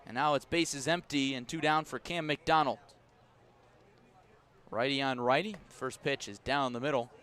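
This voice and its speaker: A man doing live radio baseball play-by-play, describing each pitch in detail and filling in with player stories. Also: moderate pace, long pauses, smooth. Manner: descriptive, storytelling between pitches, rising to excited calls on big plays.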